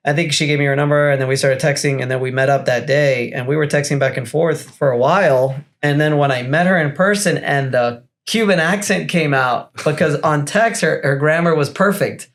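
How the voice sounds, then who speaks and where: A man in his forties from Miami, a guest on a podcast, talking casually in a blunt, profane way and quick to agree. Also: fairly high pitch, a bit bright. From Miami, United States. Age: 40s. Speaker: guest, business coach. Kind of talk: casual conversation.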